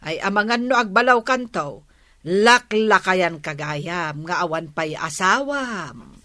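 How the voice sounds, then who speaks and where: Bright, nagging fed-up woman. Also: fairly low pitch, animated, slow, no room sound, studio quality, exaggerated voice. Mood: scolding. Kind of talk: Ilocano radio drama acting.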